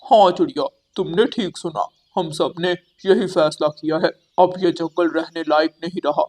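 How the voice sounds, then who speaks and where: A woman voicing a serious, decisive crow among forest birds in an animated story. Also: deep voice, moderate pace, voice pushed down. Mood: serious, firm.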